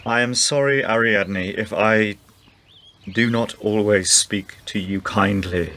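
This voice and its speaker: An actor playing a pompous, theatrical upper-class husband and war veteran in a 1920s radio play. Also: male voice, lowish voice, takes it slowly, sharp tone. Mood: wounded, stiff.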